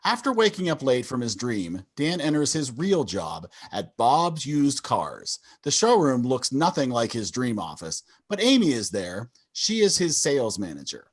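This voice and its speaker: Animated man narrating sitcom stage directions. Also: medium pitch, unhurried, few pauses, husky. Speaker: narrator of a table read. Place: indoors, on a video call.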